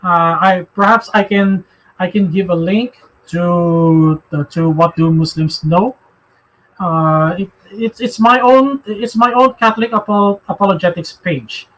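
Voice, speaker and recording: A man from the Philippines with a Filipino accent, hosting a religious discussion in a halting way, often repeating phrases. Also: high voice, fairly bright tone, low-quality recording. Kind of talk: live religious discussion, answering questions.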